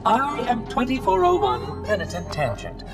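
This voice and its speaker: Chipper robot monitor, sing-song, filtered voice, music behind. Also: male voice, high voice, wildly swooping pitch, normal speed, recorded from a distance. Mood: prim. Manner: prim, technical, matter-of-fact. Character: chipper floating robot caretaker, pedantic. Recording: game score, voice set back.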